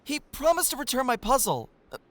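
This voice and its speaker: A man's voice: extremely high pitch, huge pitch swings, brisk, fairly bright tone, full character voice. A man playing an innocent, friendly small teenage boy in an audio manga, with a guileless, exclaiming delivery. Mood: puzzled, curious. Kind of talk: animated audio manga acting.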